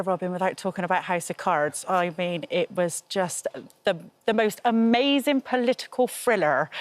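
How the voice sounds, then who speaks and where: A young woman from Bristol with a Bristolian accent, hosting a casual interview, chatty and quick to giggle. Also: mid-range voice, no echo. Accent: Bristolian English. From Bristol, England. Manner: chatty and giggly, with frequent laughs. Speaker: podcast host.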